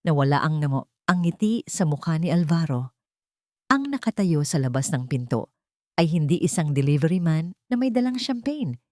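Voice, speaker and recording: A woman's voice: sits low, very animated, moderate pace, warm tone, dry recording, studio quality. A woman with a Filipino accent narrating a scripted dramatic story.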